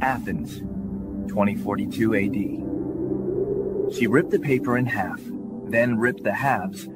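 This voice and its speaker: A man's voice: lowish voice, a little slow. A man giving a dramatic scripted reading of book narration. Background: music behind the voice.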